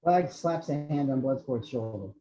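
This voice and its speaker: A man's voice: mid-range voice, lively intonation, normal speed, dark tone. A man reading out screenplay action descriptions as the narrator of a table read. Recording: slightly dull lo-fi microphone.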